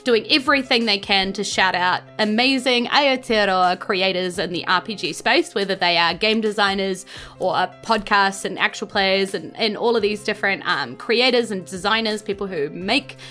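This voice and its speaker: A woman's voice: medium pitch, moderate pace, bright, forceful tone, soft background music. A woman with a New Zealand accent, effusive and gushing as she hosts a casual podcast chat. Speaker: podcast host giving thanks and announcements.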